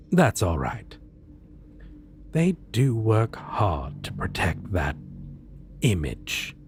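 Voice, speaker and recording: A man playing a suave, courteous yet menacing supervillain interviewer in a soft-spoken, deliberate ASMR roleplay. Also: on the low side, slight background noise, heavily acted. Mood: wry, probing. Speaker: voice actor.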